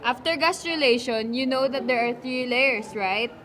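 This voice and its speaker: A woman's voice: a little high, normal speed, fairly bright tone, lightly acted. A woman playing a nurse who teaches science in a scripted puppet show. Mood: explaining. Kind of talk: scripted educational puppet dialogue.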